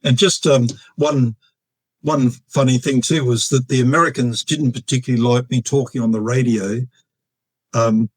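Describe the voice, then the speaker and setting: Halting Australian man in his sixties. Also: medium pitch, fairly even intonation, no room sound, poor audio. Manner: halting, with many fillers and restarts. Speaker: guest, retired air force officer. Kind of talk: casual storytelling interview.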